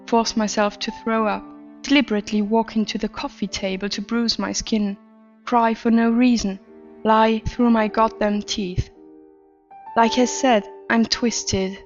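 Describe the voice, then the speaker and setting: A woman playing a troubled adult narrator confessing a twisted, attention-starved childhood in a deliberate horror story reading. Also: mid-range voice, lo-fi sound, close to an everyday voice. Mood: confessional, bitter. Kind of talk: scripted first-person horror story narration. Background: background music.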